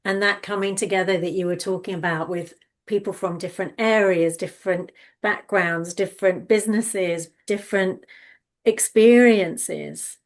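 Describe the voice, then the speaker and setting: A woman's voice: mid-range voice, steady tone, moderate pace. A woman hosting an interview on a video call, enthusiastic and encouraging, often repeating words as she talks. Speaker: interview host. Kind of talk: interview conversation.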